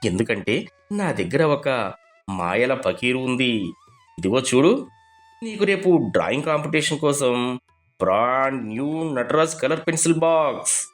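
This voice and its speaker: A man playing an earnest, emotional husband and father in an animated moral story. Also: medium pitch, unhurried, pauses often, soft background music, lightly acted. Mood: affectionate.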